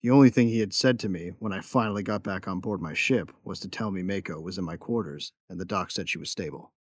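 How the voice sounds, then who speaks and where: Tough bounty hunter narrator; low, close, dry sound, brooding with a tender streak. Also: male voice, fast, no room sound. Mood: brooding, intimate. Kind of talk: first-person audio drama narration.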